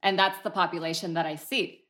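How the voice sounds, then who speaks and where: A therapist who was born in Vietnam, calmly explaining history and family trauma as an interview guest. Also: male voice, high voice, brisk. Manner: calm, explanatory.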